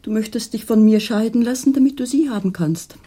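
An actress playing a jealous, despairing wife in a German radio play, with a bitter, accusing manner and a husky voice. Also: female voice, fairly high pitch, fairly quick. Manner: accusing, bitter, often hushed. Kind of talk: 1980s German radio drama acting.

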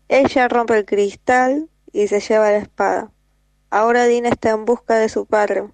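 A woman's voice: medium pitch, vocal fry, darkish tone, no room sound. A teenage girl narrating a scripted horror story as a student reader.

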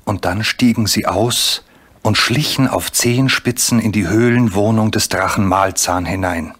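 A man narrating a children's story from a script, with measured, dramatically drawn-out phrasing. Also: fairly low pitch, a bit bright. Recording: clearly noisy old recording.

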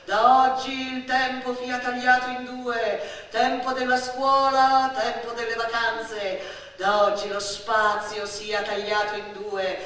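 A woman playing a stern, solemn voice of doom in a stage play, declaiming decrees in a grand, measured manner. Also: fairly high pitch, monotone, fairly bright tone. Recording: echoey hall, distant stage microphone.

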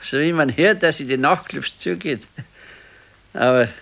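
A man's voice: on the high side, measured pace, creaky, fairly bright tone. An actor playing a sickly, wistful grandfather in his sixties in a radio play, musing in short colloquial phrases with a Bavarian accent. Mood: defensive, sheepish. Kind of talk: naturalistic radio play dialogue.

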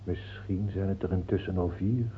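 A modest hermit holy man in a radio play, heard through a thin, tinny old recording. He is mild and weary, gently contrary, and understates everything in a plain, dry way. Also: low voice, fairly even intonation, normal speed, slightly rough. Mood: sheepish, understated.

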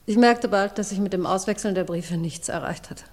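A woman playing a distressed forty-year-old secretary confessing as a witness in a radio crime play, halting and faltering. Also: medium pitch, darkish tone, lightly acted. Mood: remorseful, resolute. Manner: halting, faltering, repeating herself. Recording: long reverberant courtroom hall.

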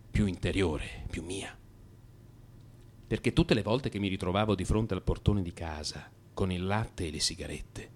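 A man acting a radio theatre monologue as a restless, frustrated man in crisis, confessional and theatrical, moving between hushed and emphatic delivery. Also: on the low side, lightly acted. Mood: frustrated, dejected. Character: restless, frustrated man in crisis confiding his night walks. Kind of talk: dramatic first-person radio theatre monologue.

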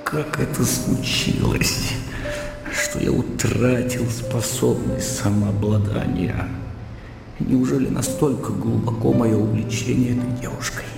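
Radio drama: uneasy, self-reproaching gentleman, halting and courteous, reverberant recording. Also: mid-range voice, steady tone, vocal fry, music in the background. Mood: brooding, agitated. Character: agitated, proud, self-reproaching gentleman, coolly rational. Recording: echoing reverberant sound, faint background noise.

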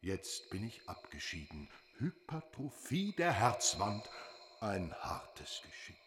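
Hollow-voiced, slow, mournful dead man. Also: lowish voice, big pitch swings, a bit bright, exaggerated voice. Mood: mournful, solemn. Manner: solemn, formal, measured. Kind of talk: radio drama acting. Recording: long reverberant hall sound.

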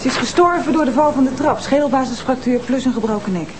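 A woman's voice: sits high, quick pace, background noise, close to an everyday voice. A woman acting a matter-of-fact police detective, factual and direct in a TV crime drama. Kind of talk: naturalistic TV crime drama dialogue.

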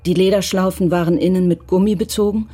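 A narrator telling a crime radio play in even, measured, scripted narration. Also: medium pitch, slightly rough.

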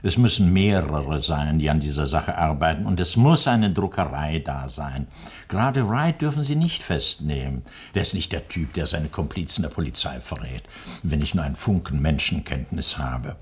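A deep male voice as a shrewd, worried doctor in a vintage radio thriller. The delivery swings expressively, with deliberate pauses, and the tone is husky. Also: animated, heavily acted. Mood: urgent, insistent. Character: shrewd, anxious male sanatorium doctor under blackmail. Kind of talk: 1960s German radio crime drama acting.

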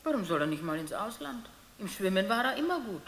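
A woman's voice: mid-range voice, sing-song, a little fast, fairly bright tone. An actress playing a practical, nagging middle-aged mother in a radio play. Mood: matter-of-fact. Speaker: actor. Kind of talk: German radio play acting. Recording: long reverb.

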